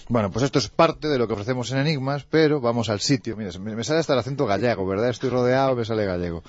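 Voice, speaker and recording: Male radio talker from Andalusia, animated and jokey, quick-paced, dry lo-fi broadcast sound. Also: mid-range voice, no echo. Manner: animated, jokey, frequent fillers. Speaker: radio contributor on mysteries. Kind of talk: lively radio chat and storytelling.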